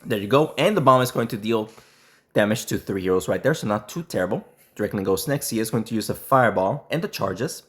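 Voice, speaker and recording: A man with a hoarse voice, sick and nearly losing it, commenting casually on a mobile game match he is playing. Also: lowish voice, animated. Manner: throat clearing, halting restarts. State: sick, voice strained and nearly lost. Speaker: gaming YouTuber.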